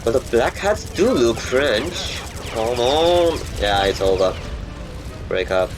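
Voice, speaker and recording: A man thinking aloud in a halting, muttering way while playing a video game. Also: mid-range voice, animated. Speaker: gamer. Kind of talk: gameplay commentary. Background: game music.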